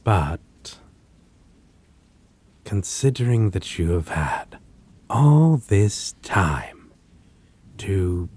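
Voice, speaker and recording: Vampire lead, male actor: low, husky and very slow, with theatrical swings, possessive and condescending, for audio roleplay. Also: sing-song, a little mellow, heavily acted. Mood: condescending, taunting. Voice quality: husky, breathy. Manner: slow, theatrical, archaic wording, condescending endearments. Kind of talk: dramatic audio roleplay acting addressed to listener.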